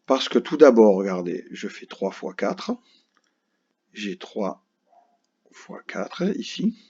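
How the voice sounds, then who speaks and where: Patient man explaining math, husky low voice. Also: sing-song, takes it slowly, darkish tone. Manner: patient, explains step by step, keeps asking "d'accord?". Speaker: primary school teacher. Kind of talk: recorded math lesson for children. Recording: dull lo-fi recording.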